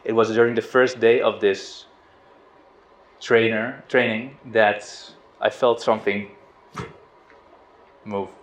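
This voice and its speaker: A middle-aged man from the Netherlands giving an informal spiritual talk, relaxed and hesitant, with restarts and soft laughs. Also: on the low side, slow pace, pauses often. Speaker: spiritual teacher. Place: outdoors on a river boat. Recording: roomy, dull lo-fi sound with faint noise.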